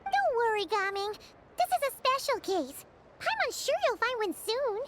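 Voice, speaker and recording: A woman voicing a tiny, childlike, excitable fairy companion in a video game, with exclamatory delivery. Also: very high voice, normal speed, background noise. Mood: reassuring. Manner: speaks of self in third person, exclamatory. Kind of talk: cartoonish video game voice acting. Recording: loud music under the voice.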